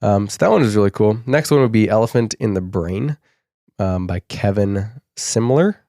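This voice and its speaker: A young man with a low, slightly husky voice, sick with a cold, chatting loosely as a podcast co-host. He rambles with plenty of fillers. The sound is close and dry, with no room echo. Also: lively intonation, moderate pace, mellow. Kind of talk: casual podcast chat.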